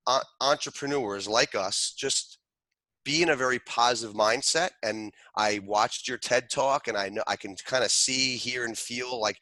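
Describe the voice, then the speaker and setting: A man's voice: mid-range voice, pushed and bright, no echo, poor audio. A man, a martial arts grandmaster, speaking earnestly and reflectively as a guest in an interview, with frequent restarts. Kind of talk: interview conversation.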